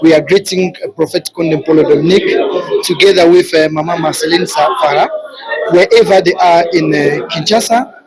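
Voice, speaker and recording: A man delivering halting, formal greetings as a church representative. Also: a little high, rough recording. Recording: live church, crowd audible behind.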